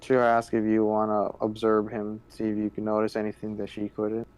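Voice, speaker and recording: A man's voice: on the low side, monotone, normal speed, a little mellow, clean tone, poor audio. A man playing a tabletop game, describing his character's actions casually and matter-of-factly. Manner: matter-of-fact, describes character in third person.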